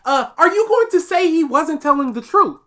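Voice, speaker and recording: Nasal-voiced woman cohosting a reaction vid, kinda high, lively pitch, gets fed up and argues. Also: normal speed, a crowd in the background. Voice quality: light, nasal voice. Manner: argumentative, gets exasperated. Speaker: co-host reacting to a video. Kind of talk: casual reaction commentary.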